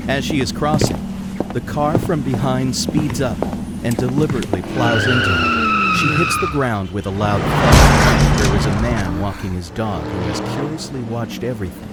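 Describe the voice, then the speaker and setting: Lowish-voiced male audio play narrator. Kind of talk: scripted narration.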